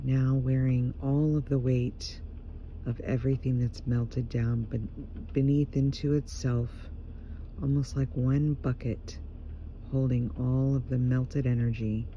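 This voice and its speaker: A man leading a guided meditation in a gentle, hushed voice, leaving unhurried pauses between short phrases. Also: mid-range voice, little pitch movement. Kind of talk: guided meditation, slow soothing narration. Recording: muffled, dull home recording with faint noise.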